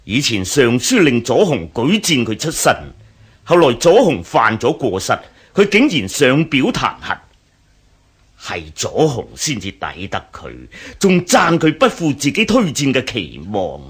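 Radio drama villain: haughty Han official, Hong Kong Cantonese, deliberate pace, highly animated, scornful and vindictive. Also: male voice, breathy edge, heavily acted. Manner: haughty, scornful snorts and chuckles. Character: arrogant, vindictive elder brother, powerful Han dynasty official.

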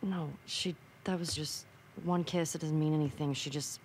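Brief: female actor as guarded, wounded young American woman in a game drama, lowish voice, blunt delivery, faint background noise. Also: fairly even intonation, moderate pace, darkish tone, close to an everyday voice. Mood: guarded, tense. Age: young adult. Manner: terse, blunt. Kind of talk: naturalistic video game acting.

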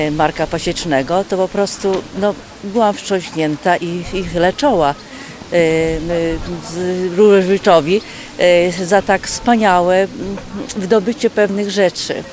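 A woman's voice: on the low side, unhurried, a little mellow, slight background noise. An older woman from the audience, nervous and heartfelt, hesitant as she speaks in a street interview.

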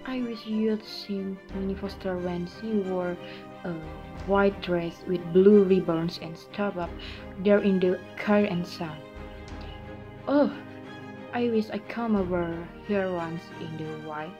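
A regretful, resentful farm wife, acted by a young woman in a student play. Her voice sits at an average pitch, and music plays clearly behind her. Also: fairly even intonation, slow, muffled recording, lightly acted. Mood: remorseful, bitter. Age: young adult. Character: grieving, resentful farm wife, middle-aged. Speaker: amateur student actor. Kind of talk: amateur stage drama acting.

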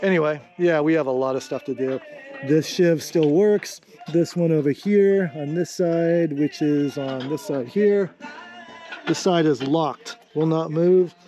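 A man's voice: fairly high pitch, unhurried, mellow, faint music behind. A middle-aged man walking a boat yard and talking casually to a handheld camera, easygoing and rambling with plenty of fillers. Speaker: vlogger rebuilding a trimaran. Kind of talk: casual walk-and-talk vlog. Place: outdoor boat yard in the sun. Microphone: action camera held at arm's length.

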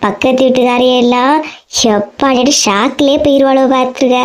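Woman doing bossy proud cartoon housewife, middle aged, high voice, kanyakumari tamil accent, snaps back. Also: fairly even intonation, normal speed, natural voice. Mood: excited, proud. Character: boastful, combative middle-aged housewife and wife. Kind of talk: comedy cartoon dialogue.

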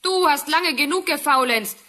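A woman's voice: high-pitched, normal speed, sharp tone. A woman playing a cruel, haughty young stepsister in a fairy-tale audio drama, scornful and bossy. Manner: scornful, commanding, cackling.

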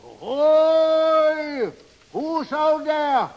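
Old Swedish barge captain: high-pitched, slow, broken English, fond father, vintage radio drama. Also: animated, dull audio, full character voice. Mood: anxious, urgent. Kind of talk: 1930s radio drama acting. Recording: old 1930s radio recording.

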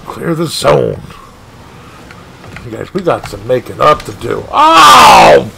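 Excitable man narrating his own gameplay. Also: medium pitch, slow pace, creaky, background noise. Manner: animated, exclaiming, frustrated at missed shots. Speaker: gaming streamer. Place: home gaming room.

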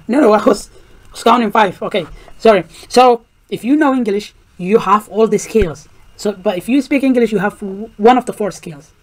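A man's voice: sits high, poor audio. A young man, a native Somali speaker, explaining language skills in a casual outdoor vlog, talking outdoors into a phone camera. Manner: explanatory, lecturing, repeats points. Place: outdoors in a park.

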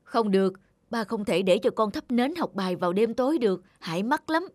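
A man's voice: high-pitched, lively intonation, a little fast, a little hiss or hum. An actor playing a devoted, sorrowful adoptive father in a cartoon, earnest and caring. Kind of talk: animated moral tale dubbing. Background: loud background music.

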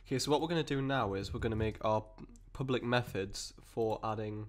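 A man with a cold and a croaky voice, explaining code step by step in a rambling way with many fillers and restarts. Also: lowish voice, a little fast. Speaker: programming tutor. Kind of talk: screen-recorded coding tutorial.

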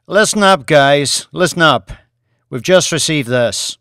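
A man's voice: a little high, animated, measured pace, fairly bright tone, smooth, no echo, studio quality, voice pushed up. A man voicing a commanding male news boss in an audiobook, rallying his team with emphatic, clipped orders.

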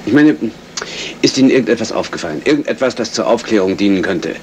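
An actor playing a probing police inspector in a 1970s German radio crime play, firing clipped, insistent questions. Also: male voice, on the low side, animated, quick pace, close to an everyday voice. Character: brisk, probing male police detective inspector. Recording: noisy vintage radio play recording.

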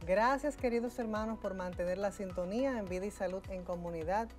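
A woman's voice: medium pitch, a little fast, over loud music. A middle-aged woman hosting a TV health talk show, friendly and affirming. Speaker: TV host. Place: TV studio set. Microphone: lapel mic.

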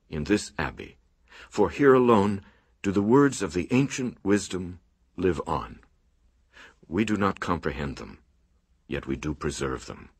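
Actor as a deep, solemn monk chronicler. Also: male voice, husky, dry recording, studio quality, full character voice. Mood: solemn, resolute. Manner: solemn, oratorical. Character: solemn monk chronicler reciting his order's sacred history. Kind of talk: archaic, scripture-like radio drama recitation.

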